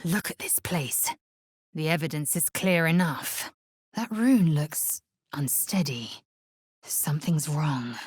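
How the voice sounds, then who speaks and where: A fierce young alien warrior woman from a fantasy video game, low and measured. She speaks in clipped, formal, commanding phrases with haughty authority. The voice has a husky rasp and sounds dry and close. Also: darkish tone, dry recording, exaggerated voice. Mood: wary, observant. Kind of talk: video game character voice lines.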